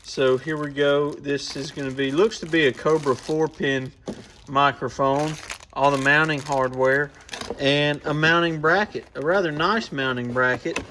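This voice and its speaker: A man's voice: on the high side, fairly even intonation, a little slow, bright, forceful tone, a little hiss or hum. A man hosting an informal, off-the-cuff product review, thinking aloud as he goes. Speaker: host of a radio shop's review channel. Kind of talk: casual hands-on product review.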